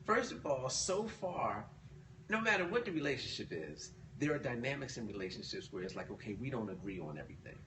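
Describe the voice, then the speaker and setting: Animated man in an echoey room. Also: medium pitch, a little fast. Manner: animated, jokey storyteller who acts out the characters. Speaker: co-host of book discussion. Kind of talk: casual chat. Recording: echoey room, distant mic, noisy.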